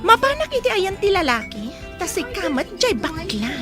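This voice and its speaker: A woman's voice: extremely high pitch, big pitch swings, normal speed. An actress playing a brash, scheming young woman who works at a bar, in an Ilocano comedy radio drama. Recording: radio drama with music behind.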